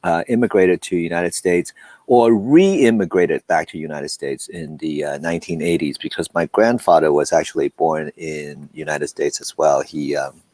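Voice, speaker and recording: A middle-aged man from Hong Kong, Taiwan and Southern California, telling his story casually as an interview guest, haltingly, repeating words and drifting into asides. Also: extremely low pitch, darkish tone, dry recording. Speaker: podcast guest, film producer.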